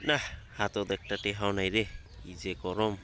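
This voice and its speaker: A man's voice: fairly low pitch, flat delivery, moderate pace, lightly acted. A man acting a broke, scheming village swindler talking to himself in a comedy sketch, with a northern Bengali regional dialect. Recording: loud music over the voice.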